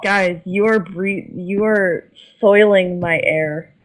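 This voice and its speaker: Voice chat participant, crude deadpan jokes, mid-range pitch, casual trolling. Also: slow, low-quality recording.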